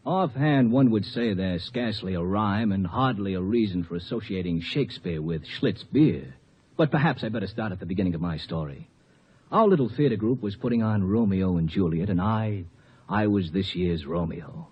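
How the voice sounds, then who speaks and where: A man's voice: on the low side, expressive, moderate pace, a little hiss or hum, lightly acted. An actor playing a bashful, clumsy young amateur actor who tells his story in a hesitant, mock-formal way for a 1950s radio commercial. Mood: sheepish. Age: young adult. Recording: muffled old radio recording.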